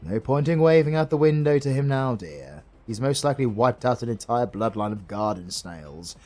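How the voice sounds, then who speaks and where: A man's voice: medium pitch, sing-song, normal speed, a little mellow, faint music behind, natural voice. A British man playing a retired supervillain husband in a romantic comedy roleplay, smug, doting and flirty, with theatrical teasing. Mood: playful, sheepish. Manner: theatrical, teasing, cooing endearments.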